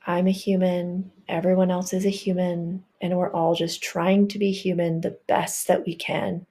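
A woman leading a guided healing meditation, speaking in a gentle, reassuring and affirming way. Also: fairly low pitch, level tone, moderate pace, breathy edge.

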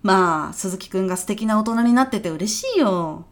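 A woman's voice: medium pitch, animated, normal speed, natural voice. A woman playing a brash, chatty former gal in her early thirties who sells real estate, talking in casual slang in a comedy radio drama. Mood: chatty, friendly. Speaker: actor in an audio comedy sketch.

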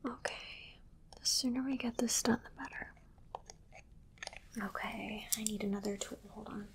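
A woman playing a calm alien examiner in an ASMR roleplay, whispering softly and slowly, with clinical reassurance. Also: medium pitch. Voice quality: breathy whisper. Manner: soft, unhurried, repetitive, clinically reassuring. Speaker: ASMR performer.

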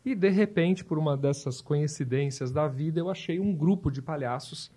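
A man's voice: a little high, normal speed, mellow, a little hiss or hum. A man in his fifties from southern Brazil, a volunteer hospital clown, telling his story in an interview with a reflective, storytelling manner. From Porto Alegre, Brazil. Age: 50s. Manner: reflective, storytelling, with repeated restarts. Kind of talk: interview conversation.

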